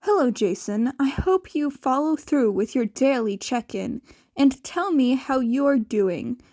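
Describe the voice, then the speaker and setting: Woman reading as a formal boy, high and slow. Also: animated, mellow, no echo, studio quality. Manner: stiff, formal.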